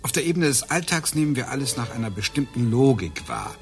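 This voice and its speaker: Radio drama: a man plays a brooding, musing science obsessive, average pitch, animated intonation, faint score behind. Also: a little fast, soft background music. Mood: thoughtful. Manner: musing, explaining, drifts into whispers. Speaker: actor in a radio play.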